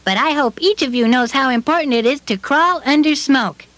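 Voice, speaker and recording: A man's voice: very high-pitched, moderate pace. A man voicing a friendly, eager smoke-sniffing puppet who talks cheerfully to children in a scripted show. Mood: cheerful, reassuring.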